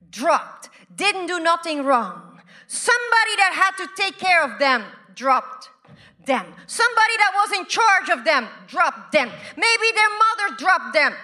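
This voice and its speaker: A woman from Belgium preaching a sermon, repeating phrases and swinging from loud declarations to hushed whispers. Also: very high-pitched. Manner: slow, deliberate delivery with repeated phrases.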